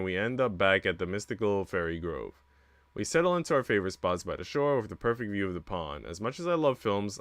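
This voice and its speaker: A man's voice: low-pitched, fairly bright tone, no room sound. A young man streaming a visual novel read-aloud, narrating with casual, slangy reactions.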